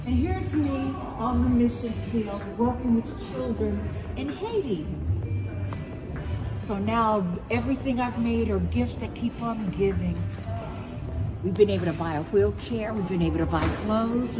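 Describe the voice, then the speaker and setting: A woman's voice: fairly high pitch, measured pace, far from the mic. A middle-aged woman giving an informal welcome to camera as a shop owner and artist. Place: large indoor market hall. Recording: echoey hall, music behind.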